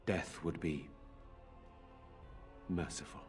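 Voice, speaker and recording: An actor playing a weary nobleman in a video game, speaking in a measured, formal and grave way. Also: rumbling low, fairly even intonation, a little mellow, soft background music. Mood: sombre. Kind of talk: dramatic video game acting.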